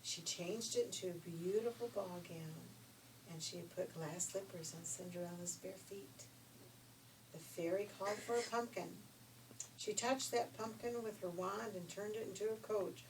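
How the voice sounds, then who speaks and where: An older woman telling a fairy tale informally, in a folksy, expressive, chatty way. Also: mid-range voice, moderate pace. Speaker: storyteller. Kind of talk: informal read-aloud fairy tale storytelling with asides. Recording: distant mic with faint background noise.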